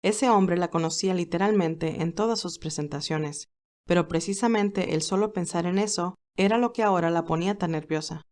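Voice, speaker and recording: A woman's voice: on the low side, normal speed, a little mellow. A woman narrating her own fan fiction in a scripted storytelling style.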